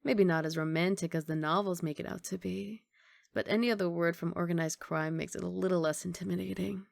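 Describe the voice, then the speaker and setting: Casting: actress as wistful female mafia boss girlfriend for ASMR roleplay. Soft, gravelly, guarded but loving, very dry close sound. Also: lowish voice, steady tone, fairly quick, dry recording. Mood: guarded, matter-of-fact. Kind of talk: intimate ASMR roleplay monologue.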